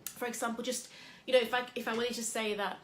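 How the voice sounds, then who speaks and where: A woman's voice: a little high, little pitch movement, fast, fairly bright tone. Woman, motivational host, chatty, rambling, echoey room, faint hum. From England. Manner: chatty, rambling, many fillers. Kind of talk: casual motivational talk.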